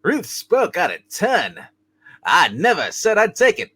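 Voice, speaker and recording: A man acting a cheerful, boyish younger brother in a hammed-up amateur reading, chatty and halting. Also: high-pitched, wildly swooping pitch, pushed and bright, husky, full character voice. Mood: earnest.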